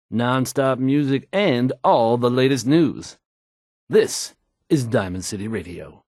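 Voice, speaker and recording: A smooth, self-assured radio DJ for a post-apocalyptic game. His delivery is deliberate and showy, swinging widely in pitch as he reads news and ads. The sound is dry and close. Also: dry recording. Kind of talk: polished radio announcing and ad reads.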